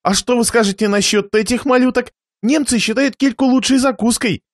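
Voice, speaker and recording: A man's voice: very high-pitched, fairly quick, no echo, studio quality, voice pushed up. A man voicing a miserly, suffering merchant host in a satirical story, fawning with plaintive, forced politeness while seething underneath. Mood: forced enthusiasm. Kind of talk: dramatised reading of a satirical short story.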